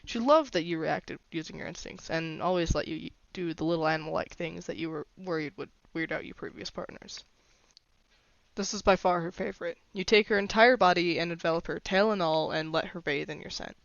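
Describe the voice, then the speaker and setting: A woman's voice: lowish voice, fairly quick, gravelly, no room sound. A woman reading a fan fiction story aloud as scripted narration, on a slightly dull recording.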